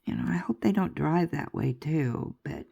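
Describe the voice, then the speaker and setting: Older woman vlogger, low gravelly voice, stuffy and chatty, unhurried rambling delivery. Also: expressive, dark tone, lo-fi sound. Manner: rambling, chatty, laughs often. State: stuffy nose. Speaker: crafting vlogger. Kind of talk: casual chatty vlog.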